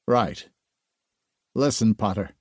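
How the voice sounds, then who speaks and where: A man's voice: on the low side, takes it slowly, husky, no echo, studio quality. A man voicing a stern, impatient male swordsman who speaks in a terse, restrained way. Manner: curt and restrained, terse replies.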